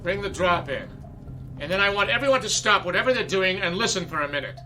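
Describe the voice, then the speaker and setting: A strict impatient theater director, kinda high pitched, lecturing the cast. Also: male voice, lively intonation, fast, music in the background. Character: stern middle-aged male drama director. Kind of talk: radio drama acting.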